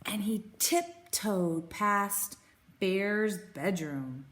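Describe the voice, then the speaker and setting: A woman in her thirties reading a picture book aloud as a teacher, expressive and sing-song, explaining simply for children. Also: mid-range voice, very slow. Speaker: teacher reading to young pupils.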